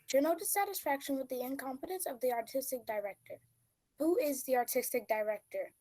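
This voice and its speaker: A woman's voice: high-pitched, brisk, dark tone. A woman playing an overworked stage manager in a comedy, dry and matter-of-fact as she needles others. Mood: dry needling.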